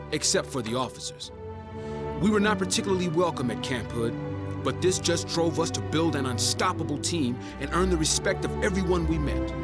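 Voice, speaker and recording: Casting: male actor as young American tank soldier, earnest first-person war narration, slightly high voice, big score underneath. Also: lightly acted. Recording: loud music under narration.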